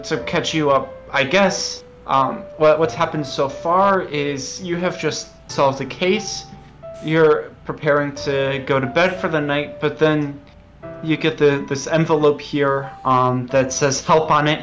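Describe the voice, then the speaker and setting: A man's voice: on the high side, a bit bright, highs cut off. A man explaining his game as its developer, earnest and hesitant, often stumbling and repeating words. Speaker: game developer being interviewed. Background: music.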